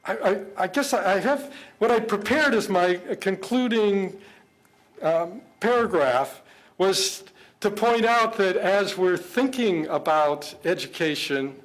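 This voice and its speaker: An older man giving a public speech to an interfaith gathering. He talks earnestly and haltingly, often repeating words as he searches for the next phrase. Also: high voice, expressive, low-quality recording. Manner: earnest, measured. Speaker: government official speaking at an interfaith event. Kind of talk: public speech with prepared remarks.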